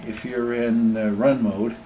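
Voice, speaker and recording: A man informally demonstrating equipment as a club radio operator, explaining in a halting way with restarts. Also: mid-range voice, flat delivery, warm tone, a little hiss or hum.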